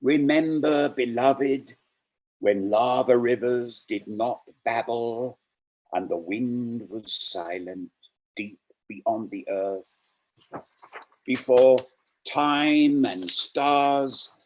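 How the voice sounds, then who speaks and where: A man reading poetry aloud as a poet, measured, pausing between short phrases. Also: medium pitch, a little mellow, poor audio.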